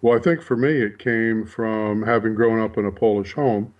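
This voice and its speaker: A man who grew up in Canada and the US, born in Europe, answering interview questions as a cookbook author in a measured way with "you know" fillers. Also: fairly low pitch, fairly even intonation, far from the mic, highs cut off. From Europe, raised in Canada and the US.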